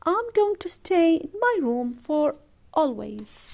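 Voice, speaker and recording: Lady doing a stubborn small girl in a kids book, jealous, high voice, childlike and emphatic. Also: sing-song, slow, gravelly, a little mellow, exaggerated voice. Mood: angry, sulky. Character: jealous, stubborn little girl, big sister in storybook.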